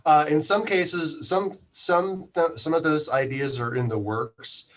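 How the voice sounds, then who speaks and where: A man speaking as an interview guest, explaining things casually in a halting, thoughtful way. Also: on the high side. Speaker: interview guest, community radio manager.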